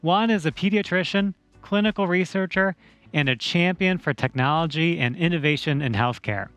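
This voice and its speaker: A male host with a slightly raised pitch reads his scripted lines in a measured, even way. His voice is dry and close to the mic, and faint music sits underneath. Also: clean tone, dry recording. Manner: measured, read-from-script delivery. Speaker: interview host. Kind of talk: scripted introduction and questions.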